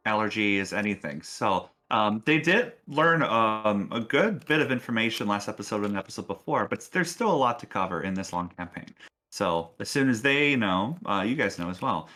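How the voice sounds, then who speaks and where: A man's voice: fairly low pitch, expressive, moderate pace, pushed and bright. A man hosting a tabletop role-playing show as game master, speaking casually and encouragingly as he addresses players and viewers. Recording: faint music behind. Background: faint music.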